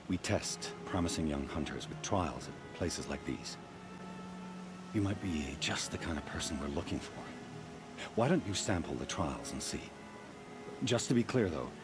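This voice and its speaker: A very low-voiced, secretive keeper of hunting grounds, talks in a measured, confiding way. It is game dialogue with music clearly playing underneath. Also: male voice. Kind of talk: video game voice acting.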